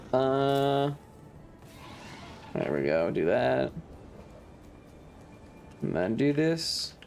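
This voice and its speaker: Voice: mid-range voice, vocal fry. A streamer giving casual live commentary on a video game in an irreverent, sweary, rambling way.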